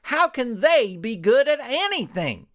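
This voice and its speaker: An indignant cowboy hero from a comic audio story, acted out by a man. The voice is forceful and lifted high, with a folksy drawl and big swings in pitch. He carries himself like a blustering team leader. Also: very animated, measured pace, full character voice. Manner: forceful, shouting.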